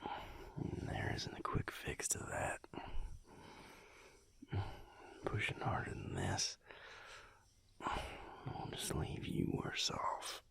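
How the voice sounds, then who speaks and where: A man playing a tender, teasing vampire lover in an intimate audio roleplay, murmuring breathily and soothingly with drawn-out pauses, close to the microphone. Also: a bit bright. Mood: soothing, patient. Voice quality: breathy, gravelly whispered murmur.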